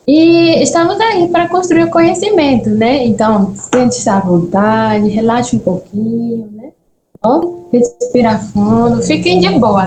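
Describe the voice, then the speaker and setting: A woman's voice: on the high side, dark tone. A woman with a mixed Spanish and Brazilian Portuguese accent, giving a friendly, chatty talk with storytelling over a video call. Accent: mix of Spanish and Brazilian Portuguese. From Bahia, Brazil and Peru. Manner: friendly, chatty, checks in with listeners. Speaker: guest speaker, nurse. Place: echoey room, video meeting. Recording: echoey room, faint background noise.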